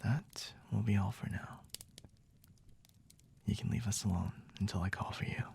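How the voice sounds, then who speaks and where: Smug vampire lord, played by a man, low and husky, half-whispered, unhurried and teasing, for intimate ASMR roleplay. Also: fairly even intonation, a little mellow. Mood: calm, commanding. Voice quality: breathy, husky, often whispering. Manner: unhurried, teasing, condescending, refined wording.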